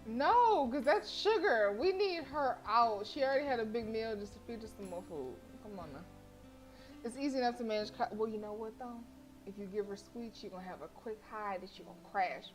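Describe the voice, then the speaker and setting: A woman's voice: on the high side, lively intonation, a little fast. A woman game streamer reading story text aloud expressively, with playful casual asides. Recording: faint game music behind. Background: game music.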